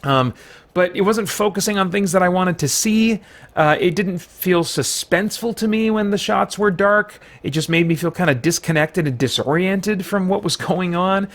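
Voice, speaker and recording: Male reviewer-host, slightly high voice, conversational, unscripted, feels out his phrasing and self-corrects. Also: normal speed, fairly bright tone. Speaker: film reviewer, channel host. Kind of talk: unscripted review commentary to viewers.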